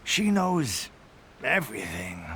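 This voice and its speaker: Languid soldier with swooping pitch. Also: male voice, sing-song, takes it slowly, bright, forceful tone, slight background noise, full character voice. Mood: drowsy, laid-back. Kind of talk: video game character acting. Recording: game music behind the voice.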